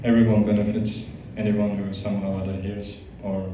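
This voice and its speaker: A man's voice: lowish voice, monotone, brisk, background noise. A young man from Austria with an Austrian accent, giving an informal, hesitant talk full of fillers and restarts to a youth group. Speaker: guest speaker, monk. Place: large echoey hall. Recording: echoey, distant, dull hall recording.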